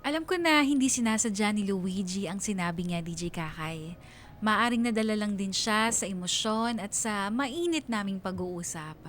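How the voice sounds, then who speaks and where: A woman's voice: medium pitch, expressive, moderate pace, a bit bright, a little hiss or hum, lightly acted. An actress playing a wistful young woman confiding her love story in first-person radio drama narration. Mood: wistful, reflective.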